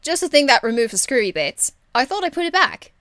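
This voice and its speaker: A woman voicing a cheeky, irreverent young female rogue archer in an amateur game voice demo, blunt, slangy and excitable. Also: high-pitched, a little fast, dry recording, clean studio sound, pitched above the performer's own voice. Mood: playful, blunt.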